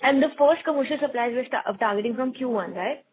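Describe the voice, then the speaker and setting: A woman with an Indian accent and a husky voice asks questions as an analyst on an earnings call. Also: high voice, a little fast, a little hiss or hum. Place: conference call. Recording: tinny phone-like conference line.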